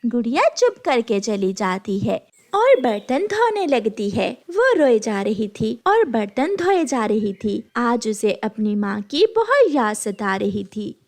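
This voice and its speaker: A woman's voice: fairly high pitch, sing-song, slow, darkish tone. A woman narrating a scripted children's cartoon story as the storyteller.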